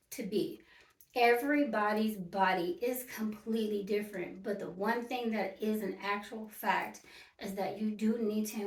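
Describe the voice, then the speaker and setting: A woman in her early fifties talking casually and directly to her viewers, emphatic and repeating her points. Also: medium pitch. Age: 50s. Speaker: vlogger. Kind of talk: casual talk to camera. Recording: echoey room, mic at a distance.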